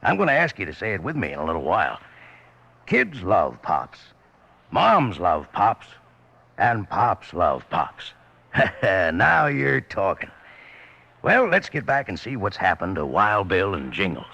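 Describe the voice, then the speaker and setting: A man giving a folksy, hearty advertising pitch in 1950s radio style. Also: huge pitch swings, measured pace, gravelly, bright, forceful tone, breathy edge, lo-fi sound. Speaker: sponsor pitchman.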